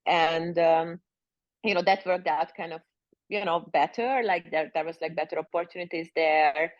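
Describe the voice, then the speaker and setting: A woman's voice: lowish voice, moderate pace, no room sound, dull audio. A woman in her thirties from Hungary, chatting as a podcast guest and rambling with lots of filler words. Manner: rambling, heavy with fillers like "like" and "you know". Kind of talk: casual interview chat.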